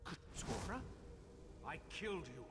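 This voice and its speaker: Embattled hero mage, high voice, defiant, big echo, game music behind. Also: male voice, animated, a little slow. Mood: shocked defiance. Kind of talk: fantasy game dialogue acting.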